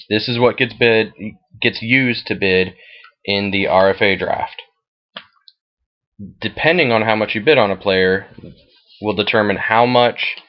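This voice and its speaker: A man with an American accent and a fairly low voice talks through something on his computer screen. He explains things informally and matter-of-factly. The recording is a bit dull and lo-fi. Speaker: fantasy football league commissioner. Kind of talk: casual screen-share walkthrough.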